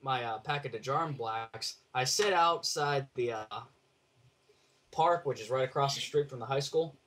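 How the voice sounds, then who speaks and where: A man telling a casual story over an online voice call, blunt and sweary, in halting phrases. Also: medium pitch, fairly bright tone. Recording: noisy online call with clicks.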